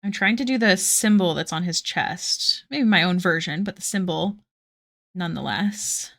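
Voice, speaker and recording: A woman's voice: on the low side, moderate pace, creaky, a bit bright. A young woman chatting casually with viewers while drawing on a live stream, giggly and self-deprecating.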